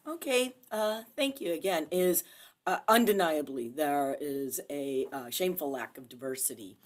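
Low-voiced woman instructor, earnest and halting. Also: animated. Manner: earnest, halting, with many fillers and pauses. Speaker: university archivist and instructor. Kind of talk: panel discussion over video call. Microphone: video call.

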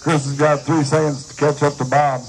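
A man's voice: fairly high pitch, level tone, moderate pace. A man calling a live race as the announcer at an outdoor dirt track. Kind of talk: live race commentary over a PA. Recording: noisy outdoor track recording.

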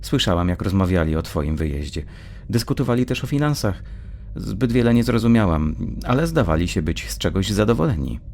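Man voicing an earnest teenage girl, lifted pitch. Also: big pitch swings, fairly quick, darkish tone. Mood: hopeful. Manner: pleading, keen, persuasive. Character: eager, earnest teenage girl, caring sister. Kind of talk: acted dialogue in a dark audio story.

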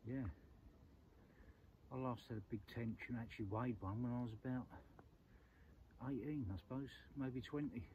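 A man's voice: mid-range voice, steady tone, moderate pace, pauses often, mellow. A middle-aged man chatting casually to camera by a lake, repeating little phrases. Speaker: angler vlogger. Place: outdoors by a lake at night and dawn. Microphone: clip-on lapel mic. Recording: lo-fi outdoor recording with clear background noise.

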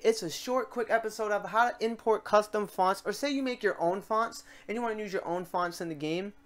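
A tutorial host talking casually and chattily through software steps in an informal screencast. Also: medium pitch. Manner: informal, chatty step-by-step instruction.

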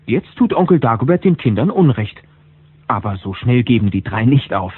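A man's voice: medium pitch, normal speed, slightly rough, no room sound, narrow, tinny audio. A man narrating a children's audio drama as a storyteller, speaking to the listeners from a script. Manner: animated storyteller addressing listeners. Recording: band-limited old cassette with music.

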